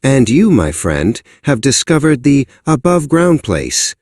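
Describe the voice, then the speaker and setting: A man voicing a wise, kindly badger with a deep, slow voice in a storybook. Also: very animated, a little mellow, slightly rough, dry recording, clean studio sound. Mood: wise, gentle. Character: wise old male badger, kindly and unhurried.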